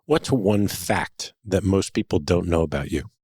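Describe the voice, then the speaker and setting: Deep, husky host with quick questions. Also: male voice, darkish tone, dry recording, studio quality. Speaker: podcast host. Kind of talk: interview questions.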